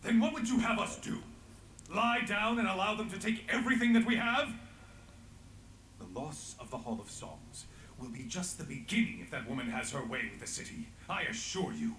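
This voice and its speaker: An actor playing a stern, forthright war planner in a fantasy game, with formal phrasing. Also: male voice, high voice, animated, normal speed, a bit bright, roomy sound, a little hiss or hum, rough recording. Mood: indignant. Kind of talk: dramatic fantasy game voice acting.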